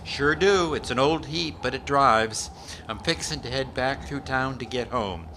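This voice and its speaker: A kindly, folksy dockhand from a radio play, acted by a man, chatty and obliging. His mid-range voice is bright and forward, on a live amateur recording with faint noise. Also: medium pitch, unhurried. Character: friendly, folksy, chatty male dock worker. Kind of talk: old-time radio drama acting.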